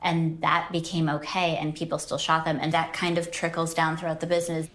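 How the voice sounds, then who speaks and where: A woman's voice: low-pitched, flat delivery, a little fast, fairly bright tone. A woman being interviewed, explaining things in a conversational way. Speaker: adult film performer being interviewed.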